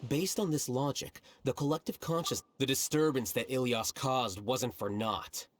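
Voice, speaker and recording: A composed game character voiced by an actor with a mid-range voice. The delivery is measured, analytical and expository. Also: medium pitch, dry recording, close to an everyday voice. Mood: thoughtful. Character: composed, analytical explainer of a collective mind. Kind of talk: video game voice acting.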